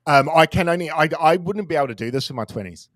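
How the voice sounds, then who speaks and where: A man's voice: on the high side, lively intonation, fast talker, fairly bright tone, no echo, clean studio sound. A man in his forties talking candidly and casually about trading, self-deprecating and swearing now and then. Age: 40s. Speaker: crypto trader guest. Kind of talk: casual podcast chat.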